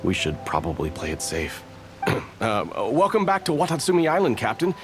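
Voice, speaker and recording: A man's voice: mid-range voice, wildly swooping pitch, normal speed, music in the background. A man voicing a grumbling, gullible young guard in a video game, talking casually. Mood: awkward. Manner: casual, griping. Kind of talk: video game character acting.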